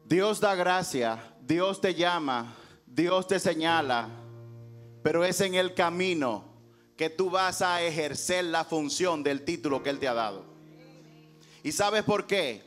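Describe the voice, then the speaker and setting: A man's voice: a little high, animated, slow, a bit bright, soft background music. A male pastor preaching an emphatic sermon in a rhetorical way, acting out dialogue from scripture.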